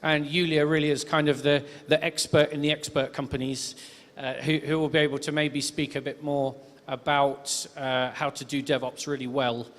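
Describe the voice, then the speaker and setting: A man's voice: fairly high pitch, level tone, moderate pace, fairly bright tone. A man moderating a tech conference panel in a chatty, hedging way with lots of fillers. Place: conference stage. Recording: reverberant conference hall.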